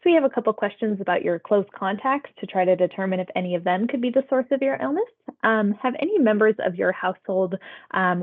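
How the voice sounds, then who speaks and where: A woman conducts a health survey over what sounds like a narrow phone line. Her voice sits at a medium pitch. She moves through scripted questions politely and conversationally, acknowledging answers as she goes. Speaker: public health phone interviewer. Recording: tinny phone-like audio.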